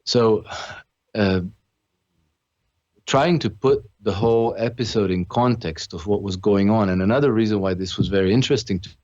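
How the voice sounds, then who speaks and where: A man from Croatia with a very low voice talks earnestly as a podcast guest, restarting his phrases and filling pauses as he goes. The sound is dry and a little dull, with a lo-fi edge. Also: a little mellow, dry recording. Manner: earnest, with many restarts and fillers. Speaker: podcast guest, author and trader. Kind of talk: conversational interview.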